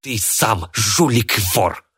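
A man's voice: big pitch swings, measured pace, fairly bright tone, full character voice. Man doing a screechy, cantankerous old man. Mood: indignant. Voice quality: raspy, screechy. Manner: shrill indignant outbursts. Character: cantankerous, sickly old man in a wheelchair, spiteful.

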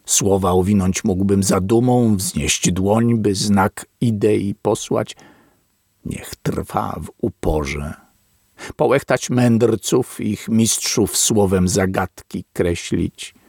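A man giving a grave, measured reading of an old-style literary epilogue, with long pauses. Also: fairly low pitch, creaky, a little mellow, husky, dry recording, clean studio sound. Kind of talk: slow solemn literary reading.

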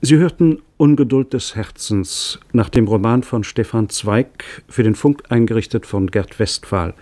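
A man's voice: medium pitch, a little slow, warm tone. A man reading scripted closing credits as a radio announcer.

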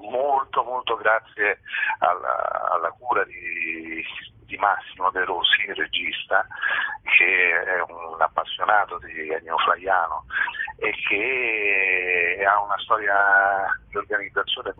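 A deep-voiced man with a husky tone talks over a tinny phone line. He is an interviewed actor, thinking aloud and often repeating words as he explains. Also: fairly even intonation, no room sound. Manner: halting, thoughtful, repeats words while explaining. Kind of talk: spontaneous interview talk. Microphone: telephone.

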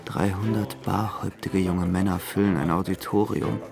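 A man's voice: low voice, steady tone, moderate pace, gravelly, music in the background. An actor playing a young provincial man in Paris, yearning and brooding, in a German radio drama. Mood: brooding, observing.

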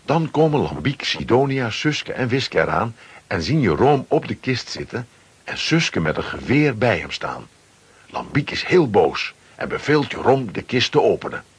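A man with a husky voice narrating a children's adventure radio play in dramatic, scripted storytelling style. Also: medium pitch, big pitch swings, moderate pace.

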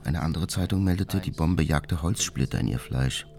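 An actor playing a cold, methodical serial bomber who recounts his attacks in a detached, matter-of-fact monologue for a radio drama. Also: normal speed. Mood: detached, frustrated. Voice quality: very deep, creaky. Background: music.

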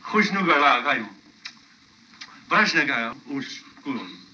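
An actor playing a brusque, meddling housemate in a radio play. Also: male voice, mid-range voice, big pitch swings, takes it slowly, bright, forceful tone, a little hiss or hum, full character voice. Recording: echoey, muffled, distant radio play recording.

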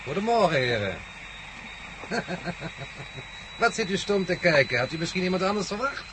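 A man's voice: high voice, sing-song, normal speed, background noise. A man playing a smug, mocking, commanding villain in a radio play, switching between mock politeness and orders. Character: smug, mocking, commanding villain guarding his captive men. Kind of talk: Dutch radio play acting.

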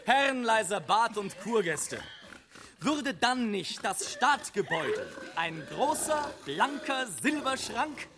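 A pompous orator in a satirical radio drama, pitched very high for a male voice. The delivery is declamatory and ranting, swinging widely up and down as it lectures its listeners. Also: sing-song, takes it slowly, noisy background, full character voice. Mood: indignant lecturing. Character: pompous, ranting orator addressing spa guests on thrift.

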